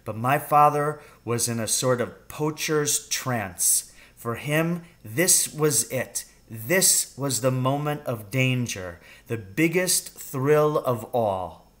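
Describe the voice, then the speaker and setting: A middle-aged man reading a children's novel aloud in a measured, suspenseful storytelling manner. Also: medium pitch, expressive, a bit bright. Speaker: storybook reader. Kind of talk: children's novel read aloud, first-person narration. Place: room at home with wooden ceiling.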